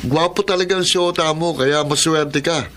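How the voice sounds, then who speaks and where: A man's voice: on the high side, moderate pace, a bit bright, lightly acted. Cocky, vain young man, noisy recording, acted. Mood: smug, boastful. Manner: bragging, self-satisfied. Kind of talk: radio drama acting.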